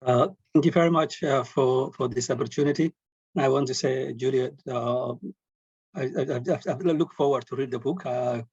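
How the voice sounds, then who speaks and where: A man asks an earnest question, halting and restarting often. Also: medium pitch, slightly rough, dry recording. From Somalia. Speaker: audience member asking a question. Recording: dull lo-fi video call audio.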